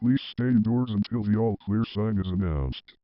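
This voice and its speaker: A man's voice: on the low side, moderate pace, no room sound, highs cut off. A man reading a scripted emergency alert announcement in a formal manner.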